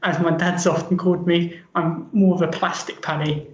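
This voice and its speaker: A man's voice: a little high, flat delivery, normal speed, reverberant. A man with a London accent, chatting upbeat as an interview guest. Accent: London English. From England. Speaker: guest, medical device founder. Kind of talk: casual interview talk.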